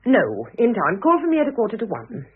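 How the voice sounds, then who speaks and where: A woman's voice: fairly high pitch, very animated, fast talker, husky. An actress playing a composed, shrewd middle-aged first wife and mother in a classic radio drama, with a dry, restrained and pointed manner. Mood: cool politeness. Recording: muffled phone-like old radio recording.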